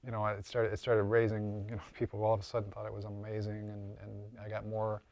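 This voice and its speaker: Low-voiced older man, even delivery. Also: no echo. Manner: halting, repeats words. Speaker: interviewed prop and mask maker. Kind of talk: casual interview explanation.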